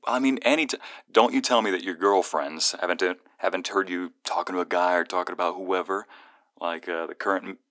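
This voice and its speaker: A man's voice: low voice, a little fast, a bit bright, dry recording, clean studio sound. A man playing a playful, protective young male friend who is secretly fond of the listener, in a one-sided ASMR roleplay phone call with teasing, earnest banter. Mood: teasing, chatty.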